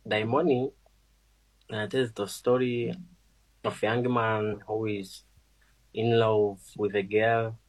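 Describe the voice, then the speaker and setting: A Burundian man whose first language is Kirundi, answering radio interview questions about his music in slow, halting English with many fillers. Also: lowish voice, poor audio. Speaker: musician guest.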